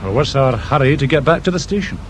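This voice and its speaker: An actor playing a mild, deferential police sergeant with a British accent in a radio drama. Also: male voice, mid-range voice, expressive, fast talker, close to an everyday voice. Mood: respectful, questioning. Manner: polite, questioning. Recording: faint noise, old radio drama.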